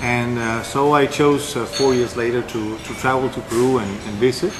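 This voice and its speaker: A man's voice: mid-range voice, steady tone, moderate pace. A middle-aged man from Denmark answers interview questions in a casual, rambling way, with many fillers and restarts. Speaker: interview guest.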